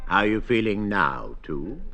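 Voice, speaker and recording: An actor playing a pompous, unflappable, scheming senior British civil servant in a radio sitcom, with a British accent. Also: male voice, fairly low pitch, lively intonation, measured pace, music in the background. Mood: confident, scheming. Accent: British English. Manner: pompous, commanding.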